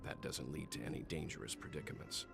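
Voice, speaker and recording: A grave, composed man from a video game, speaking in a measured, protective way. His voice is extremely deep and creaky, with music underneath. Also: exaggerated voice. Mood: solemn concern. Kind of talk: scripted video game dialogue.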